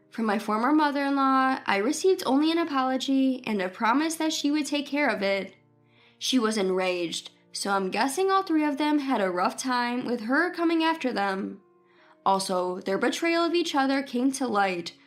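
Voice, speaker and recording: High-pitched woman narrating a story. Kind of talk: scripted first-person story narration.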